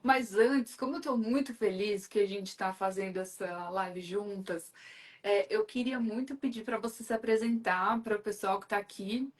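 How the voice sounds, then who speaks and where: A woman's voice: medium pitch, normal speed. A woman from Brazil hosting a live stream, talking in a chatty, enthusiastic way with lots of fillers. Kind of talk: casual live chat.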